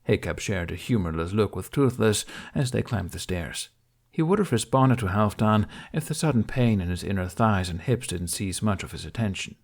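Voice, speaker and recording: A man reading fiction as an audiobook narrator, with expressive, dramatic storytelling. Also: fairly low pitch, moderate pace.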